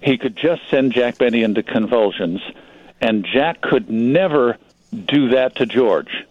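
A man talking as a guest in a radio interview, telling stories in a measured, hesitant way with pauses. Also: mid-range voice, no room sound, lo-fi sound. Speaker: guest, magazine publisher.

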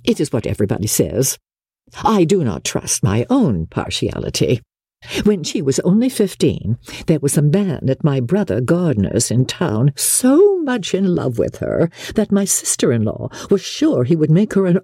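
Narrator doing a fussy boastful middle aged mom, gossipy, pitch all over the place, storybook style. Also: big pitch swings, normal speed, mellow, slightly rough, no room sound, clean studio sound. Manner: rambling, gossipy, self-satisfied. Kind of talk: audiobook character dialogue.

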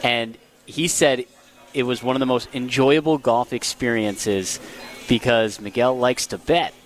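A man co-hosting a live sports radio show at a golf tournament, telling stories in a relaxed, chuckling way. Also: mid-range voice, unhurried.

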